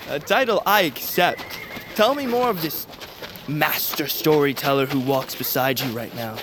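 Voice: medium pitch, a little slow, long pauses, noisy background, close to an everyday voice. An actor playing a cheerful young blind male storyteller who teases his sister. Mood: gentle. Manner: teasing, easygoing.

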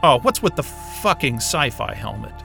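An actor playing an irreverent, wisecracking astrophysicist in a sci-fi audio drama, with a sarcastic, informal and exasperated way of talking. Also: male voice, medium pitch, sing-song, normal speed, gravelly, pushed and bright, soft background music, heavily acted. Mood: bewildered. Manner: sarcastic, informal, hesitant muttering and outbursts.

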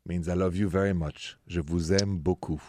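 A man's voice: deep voice, steady tone, normal speed, a little mellow, a little hiss or hum. A man from France with a French accent, co-hosting a radio talk show in a playful manner and slipping in French phrases. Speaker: radio co-host.

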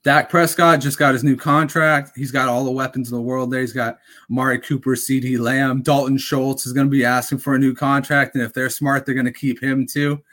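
Casting: young male podcast host, casual rambling sports talk, slightly raspy, mid-pitched. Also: little pitch movement, fairly quick, hardly any pauses, a bit bright. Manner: rambling, full of fillers and restarts. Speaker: fantasy football podcast host.

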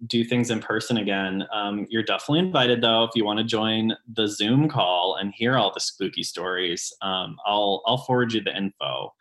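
A man in his late twenties who works as a ghost tour guide, chatting casually in an interview, with lots of ums and sudden lively jumps in pitch. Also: moderate pace, few pauses, poor audio. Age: late 20s.

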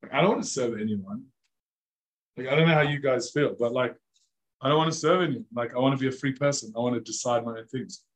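A male rabbi speaking to a roomful of students in an easygoing, conversational way. His voice sits at a mid pitch, and his sentences are loose and scattered with fillers. He stops often to ask the audience questions. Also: fast talker, poor audio. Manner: casual and chatty, many "like" fillers, questions to the audience. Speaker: rabbi teaching a class. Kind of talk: informal interactive lecture. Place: room with a live audience of students.

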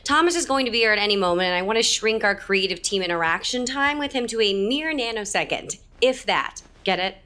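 An actress playing a dry, sharp-tongued female theater writer in an audio comedy. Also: medium pitch, lively intonation, brisk, hardly any pauses. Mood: exasperated. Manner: dry, cutting.

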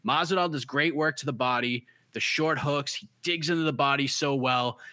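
A man's voice: medium pitch, normal speed, sharp tone, no echo. A man with a light Boston accent, an MMA analyst giving emphatic casual fight picks and repeating his key points. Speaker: MMA analyst on a preview show.

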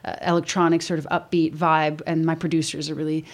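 A young woman in her twenties whose first language is Albanian, a musician guest on a radio show, talking quickly with frequent ums and restarts. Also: sits low, little pitch movement. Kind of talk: casual radio interview. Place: radio studio.

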